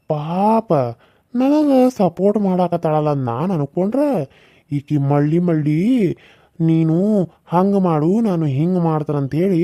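An actor playing a cruel, scheming village husband who mocks and threatens. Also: male voice, a little high, mellow, no echo, muffled recording, natural voice. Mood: menacing. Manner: mocking, threatening, scornful laughter.

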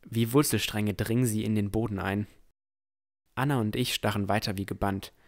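Man playing Noel, a low-voiced dreamy young storyteller. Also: steady tone, normal speed, lightly acted. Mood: puzzled, tense. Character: Noel, dreamy young village boy, shy and curious. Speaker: narrator and lead character. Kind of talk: first-person fantasy audio drama narration.

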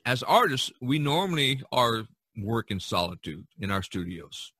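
A man hosting a casual podcast conversation, phrasing things deliberately with pauses. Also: mid-range voice, sing-song, sharp tone, breathy edge, no room sound. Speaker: podcast host.

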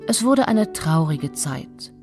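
A woman's voice: low voice, sing-song, slow pace, darkish tone, faint music behind. A woman reading scripted fairy-tale narration as the storyteller.

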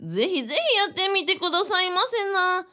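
A woman playing a genial, flattering cult founder in a radio drama, speaking politely with theatrical flourishes. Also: extremely high pitch, brisk, noisy background. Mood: encouraging, boastful. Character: genial, flattering cult founder who performs comic stories.

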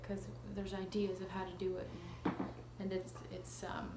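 A woman's voice: mid-range voice, monotone, normal speed. A woman in her thirties with a Canadian accent, chatting casually as an interview guest in a self-deprecating, hesitant way. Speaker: actress being interviewed. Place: living room at home. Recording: echoey room with ambient noise.

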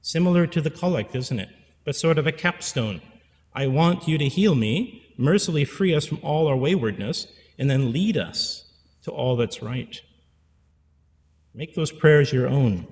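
A man's voice: fairly high pitch, lively intonation, a little slow, a little mellow, big-room sound. A man in his forties, a priest giving an earnest pastoral sermon at a pulpit microphone, repeating phrases for emphasis. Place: church sanctuary. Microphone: stand mic at pulpit.

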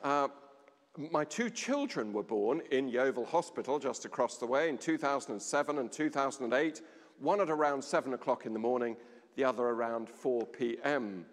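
A middle-aged man giving a sermon at a lectern in a large stone church, measured, with dramatic pauses and a voice that drops low. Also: animated. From England. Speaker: vicar. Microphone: standing at a lectern, far camera. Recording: long church reverb.

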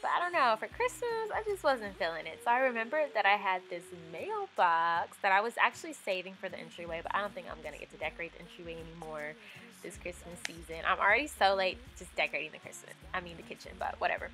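A woman's voice: lowish voice, expressive, fast, sharp tone, slight background noise, low-quality recording. Chatty young woman vlogger. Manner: chatty, upbeat, with fillers like um and like. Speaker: home decor vlogger. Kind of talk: casual voice-over narration. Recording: faint music underneath.

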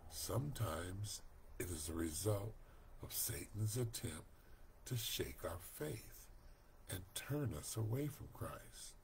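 An older man reading a Bible study lesson aloud from home, slow, deliberate and earnest, recorded on a webcam mic. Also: sits low, gravelly, a little mellow, a little hiss or hum. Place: home room, indoors. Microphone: webcam at desk.

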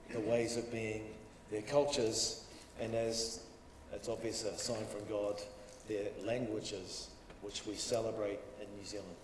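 A man's voice: mid-range voice, a little mellow. Formal man speaking in a reverberant hall. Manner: measured, formal, trailing off at phrase ends. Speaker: university welcome speaker. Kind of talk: formal welcome speech. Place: conference room, at a lectern. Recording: roomy hall reverb, distant mic, faint hiss.

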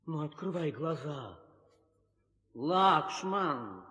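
An actor playing an eager, boisterous monkey hero in a theatrical 1960s audio drama, exclamatory and excitable. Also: male voice, on the high side, very slow, full character voice. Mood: searching, hushed. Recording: echoey, reverberant old drama recording.

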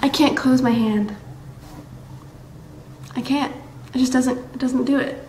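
A woman's voice: sits high, steady tone, measured pace, dark tone. Bubbly teen girl vlogging, roomy echo. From Massachusetts, US. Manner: bubbly, rambling, lots of fillers and likes. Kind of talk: casual chat to camera. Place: bright room indoors. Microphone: built-in camera mic, close webcam framing. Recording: roomy echo, faint background hiss.

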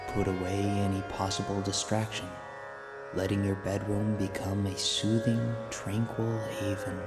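A man narrating a scripted guided sleep meditation in a soothing, deliberate way, with an echoey sound. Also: low-pitched. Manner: slow, soothing, deliberate delivery. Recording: echoey voice under loud music.

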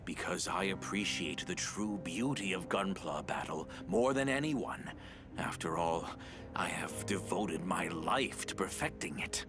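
A brooding, bitter video game villain with a low, deliberate delivery. He is grave and theatrical, sliding between hushed resignation and sweeping intensity, with music behind him. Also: expressive. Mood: impassioned. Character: defeated brooding male villain, bitter former developer. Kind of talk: dramatic video game voice acting.

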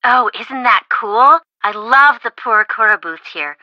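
A woman's voice: fairly high pitch, a little slow, no room sound, highs cut off. An actress playing a bubbly, chatty, teasing young American woman, a shopping-loving best friend in a video game. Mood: breezy, enthusiastic. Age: young adult. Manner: expressive, teasing, casual.

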